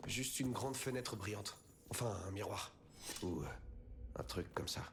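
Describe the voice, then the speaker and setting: A man's voice: on the low side, unhurried, a little hiss or hum. An actor playing a thoughtful male companion in a dubbed fantasy adventure game. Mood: uncertain.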